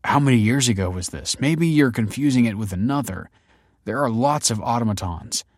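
A man's voice: mid-range voice, expressive, moderate pace, no room sound, studio quality, natural voice. A man playing a weary, evasive husband in a narrated story, placating and defensive. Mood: curious, doubtful. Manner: hesitant, placating.

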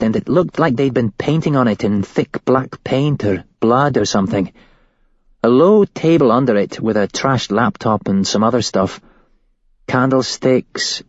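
Shaken young ex-hostage woman, read by a man; husky, raised voice, dry dull recording. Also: medium pitch, a little mellow, no echo, voice pushed up. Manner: shaky, tumbling recollection.

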